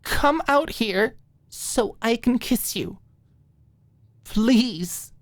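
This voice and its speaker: A woman voicing an earnest, flustered young woman in an audiobook, pleading in halting, stammering phrases. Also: fairly high pitch, expressive, no echo, pitched above the performer's own voice. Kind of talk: audiobook character acting.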